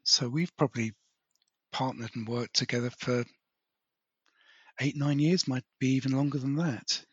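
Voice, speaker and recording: Husky man on a dull, muffled line. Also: mid-range voice, normal speed, dry recording. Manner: relaxed, asks follow-up questions and sums up. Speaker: podcast host, interviewer. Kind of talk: casual conversational interview.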